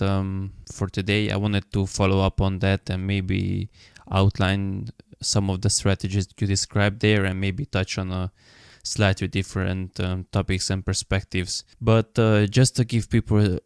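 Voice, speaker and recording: Guy hosting a podcast, pretty flat delivery, dry close sound, lots of ums. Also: low-pitched, clean tone, no room sound. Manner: measured, with frequent ums. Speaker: podcast host. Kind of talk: interview podcast intro and questions.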